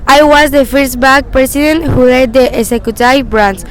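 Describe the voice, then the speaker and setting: A young woman with a high voice recites a prepared biography. She is outdoors in a city, with clear traffic noise around her. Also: steady tone, normal speed. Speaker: student presenting a history project. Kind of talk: scripted first-person recitation.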